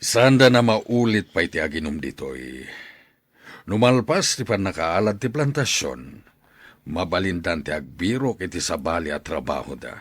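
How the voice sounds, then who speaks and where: A man playing a stern, proud older plantation boss in an audio drama, with a husky voice. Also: sits low, lively intonation, moderate pace, dry recording.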